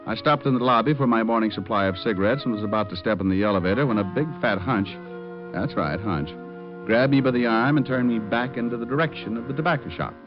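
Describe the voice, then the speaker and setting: A man's voice: medium pitch, quick pace, lightly acted. An actor playing a wry, wisecracking male private detective who narrates an old radio drama in a laid-back, sardonic way. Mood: narrating, wry. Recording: dull old radio recording with music.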